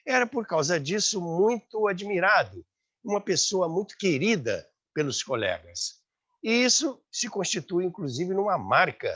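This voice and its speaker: A man's voice: mid-range voice, a bit bright, slightly rough. A man with a Brazilian accent hosting and narrating a documentary-style history, told as storytelling. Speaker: host and narrator of a radio history series.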